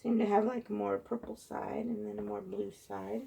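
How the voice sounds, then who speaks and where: Hoarse, gravelly woman painter. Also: medium pitch, level tone, normal speed, slight background noise. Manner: soft-spoken, chatty, muttering while working. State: hoarse, froggy voice. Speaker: acrylic pour painter. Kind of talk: casual narration while painting. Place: indoor craft table. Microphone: phone held high above the table.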